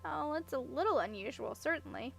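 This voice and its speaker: A woman's voice: high-pitched, animated, fairly quick, vocal fry, fairly bright tone, soft background music, full character voice. A woman voicing a headstrong, practical young princess in a storybook, with a frank, questioning, matter-of-fact manner. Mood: curious. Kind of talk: audiobook character voice.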